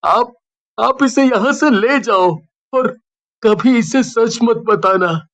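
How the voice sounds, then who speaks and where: A man voicing a gentle, lovestruck young ghost in a horror cartoon, speaking earnestly and wistfully. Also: extremely high pitch, slow, full character voice. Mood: regretful, pleading. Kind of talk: Hindi horror cartoon dubbing.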